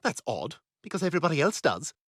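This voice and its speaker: A precocious baby boy with a clipped British accent, talks down to everyone in a lifted, bouncing voice that swoops high and low. He sounds smug and cutting, picking people apart in a dry, close recording. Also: sing-song, fast, fairly bright tone, no echo. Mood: indignant. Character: arrogant, precocious male baby with a posh voice. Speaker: therapy patient. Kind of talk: animated sitcom voice acting.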